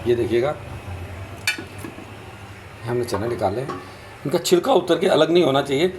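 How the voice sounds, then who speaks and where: A hoarse man with fever and a sore throat narrating a casual cooking vlog in his home kitchen, chatty and talking to viewers as brothers. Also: mid-range voice, animated, a little slow. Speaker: vlogger. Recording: noisy home video.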